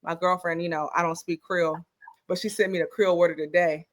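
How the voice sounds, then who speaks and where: A woman's voice: lowish voice, steady tone, fast. A woman co-hosting a podcast, chatting casually and playfully and laughing easily. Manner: playful, laughs easily, self-described messy. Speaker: podcast co-host. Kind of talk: casual chat.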